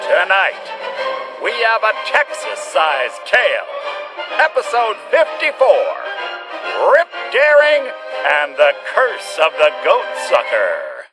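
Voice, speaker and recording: An actor playing a grandiose, excitable announcer of an old-time radio adventure serial, with showy, dramatic delivery. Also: male voice, sits high, slow, exaggerated voice, low-quality recording. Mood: grand, enthusiastic. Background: music.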